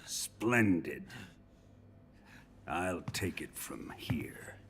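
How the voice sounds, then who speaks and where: An actor playing a sadistic military officer in a video game, gravelly, theatrical and menacing in his gloating threats. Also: deep voice, lively intonation, drawn-out words, fairly bright tone, in a whisper, exaggerated voice. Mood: smug menace. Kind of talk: dramatic video game cutscene acting.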